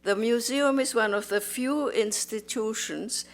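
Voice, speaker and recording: A woman in her nineties, born in Austria, giving a slow, measured, formal speech as a museum volunteer in a museum auditorium. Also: on the high side. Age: 90s. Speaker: museum volunteer and Holocaust survivor. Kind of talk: prepared public speech.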